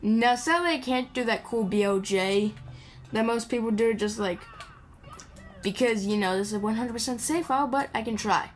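A woman's voice: fairly high pitch, moderate pace. A woman giving casual gameplay commentary in a rambling, playful way, chatting to the game and its characters. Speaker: gaming video creator. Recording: game music behind the voice. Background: video game music.

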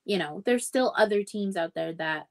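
A young woman talks informally about a book on a video call. Her voice has an average pitch, and she feels her way through thoughts, often restating a phrase. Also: moderate pace. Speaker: book club livestream co-host. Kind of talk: casual book discussion chat. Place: indoor room at home, on a video call.

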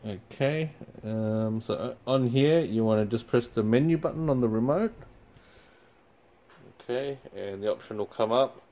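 A man's voice: mid-range voice, unhurried. Man explaining a tech how-to. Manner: relaxed, many fillers. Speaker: company product presenter. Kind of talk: casual how-to walkthrough. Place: indoors in front of a TV screen.

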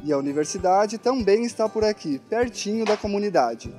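A man's voice: high-pitched, animated, brisk, warm tone, soft background music. A young man delivering a scripted television news report. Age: young adult.

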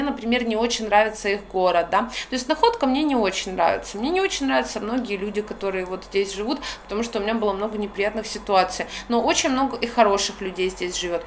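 A young woman with a Ukrainian accent vents into a phone held at arm's length in a small room by a window. Her voice sits a little high and she talks bluntly, with slang and a fed-up edge. A faint noise hangs under the recording. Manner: blunt, slangy, exasperated, animated. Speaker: vlogger. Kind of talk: casual ranting monologue. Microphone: phone camera at arm's length.

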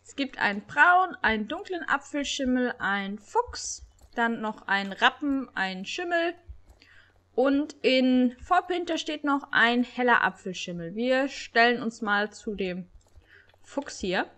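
A young woman with a cold, hosting a game video in casual, excited commentary that stumbles now and then while reading aloud. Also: fairly high pitch, a little slow. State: has a cold. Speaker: gaming channel host.

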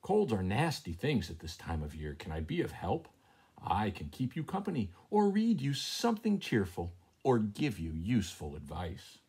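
A man voicing a sly, hungry cat in a storybook, smooth-talking and falsely neighborly, with a husky voice. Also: fairly low pitch, wildly swooping pitch, normal speed, a little mellow, exaggerated voice. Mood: falsely solicitous. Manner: smooth-talking, solicitous, scheming.

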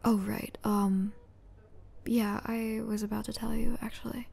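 A woman playing an apologetic, overwhelmed young female student in an ASMR roleplay, with a soft, whispery, hesitant voice. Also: on the high side, level tone, full character voice. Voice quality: soft, breathy, often whispery. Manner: hesitant, halting.

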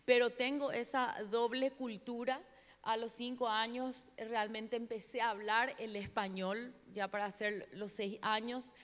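A woman's voice: on the high side, level tone, a little slow, fairly bright tone. A middle-aged woman, Portuguese her first language, preaching a sermon in an earnest, searching way, restarting words often, on a handheld mic. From Paraguay, Brazil border. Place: large church stage. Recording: long church hall reverb.

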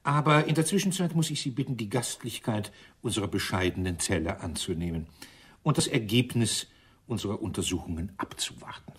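Sarcastic police inspector in a radio crime play, lowish husky voice, very polite but dry. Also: male voice, expressive, brisk. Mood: firm, ironic. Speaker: radio drama actor.

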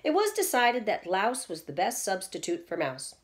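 Middle-aged female storyteller, medium pitch, teacherly read-aloud delivery for children. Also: wildly swooping pitch, normal speed. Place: indoors at home, seated in an armchair in a small room.

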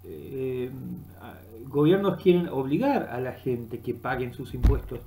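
A middle-aged man with an Argentine accent giving an unscripted, halting monologue that rambles as he searches for words, recorded on a webcam in a room. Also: medium pitch, sing-song, warm tone, poor audio. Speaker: vlogger. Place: small room indoors. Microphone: webcam at desk distance.

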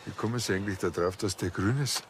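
A man's voice: deep voice, fast, background noise, natural voice. An actor playing a dry, sceptical Bavarian small-town policeman in a TV crime comedy, with a Bavarian accent.

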